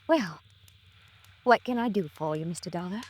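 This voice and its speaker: A woman's voice: fairly low pitch, animated, moderate pace, darkish tone. A woman acting a young, gracious, fragile American widow in a 1950s radio drama, hesitant and polite. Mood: flustered, polite. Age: young adult.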